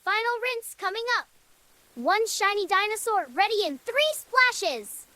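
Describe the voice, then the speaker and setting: A woman's voice: very high-pitched, expressive, a little slow, slight background noise, full character voice, poor audio. A woman voicing a cheerful young dinosaur rescue team leader in a children's cartoon, with an upbeat, encouraging manner. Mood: excited. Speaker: cartoon character voice. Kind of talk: children's animated adventure dialogue. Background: loud music.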